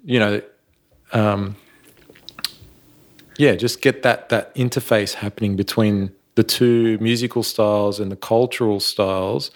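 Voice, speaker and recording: A man, a sound engineer guest chatting in a relaxed, rambling way and laughing often. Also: on the low side, measured pace. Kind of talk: casual interview talk.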